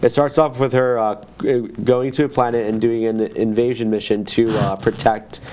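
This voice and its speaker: A man co-hosting an informal film review, giving his opinions in a halting, conversational way. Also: mid-range voice, steady tone, darkish tone. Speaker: film podcast co-host. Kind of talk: casual film review chat.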